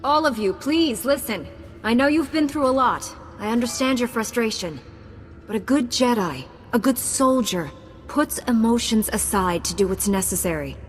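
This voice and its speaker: A woman playing a calm, principled young heroine, a Jedi Knight in a video game, speaking steadily, earnestly and diplomatically. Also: a little high, moderate pace, lightly acted. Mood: firm, earnest. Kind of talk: scripted video game acting. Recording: long reverb, game music behind.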